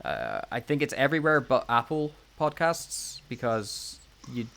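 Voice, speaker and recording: A man's voice: mid-range voice, wildly swooping pitch, unhurried, gravelly, fairly bright tone. A man co-hosting a casual unscripted podcast, rambling and joking with mock confidence. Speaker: podcast co-host.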